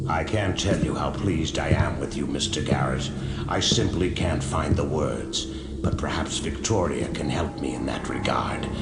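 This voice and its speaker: An actor playing a courtly, sinister rich collector in a video game, with formal, unctuous phrasing. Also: male voice, extremely low pitch, normal speed. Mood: sly mockery. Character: suave, courtly, sinister wealthy collector villain. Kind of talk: video game cutscene acting. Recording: echoey, loud music behind.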